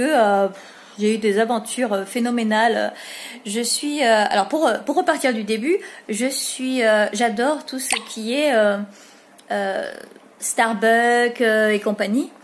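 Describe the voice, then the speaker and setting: A woman, chatting casually and hesitantly in a rambling home vlog, recorded on a phone in a dining room. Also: mid-range voice. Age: late 40s. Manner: rambling, hesitant, many pauses on "euh". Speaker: vlogger.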